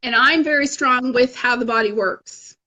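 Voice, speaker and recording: A woman's voice: fairly high pitch, moderate pace, pushed and bright, a little hiss or hum, rough recording. A woman in her thirties, a fitness group coach, talking casually and frankly about her health journey with a self-deprecating streak. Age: 30s. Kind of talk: casual motivational chat.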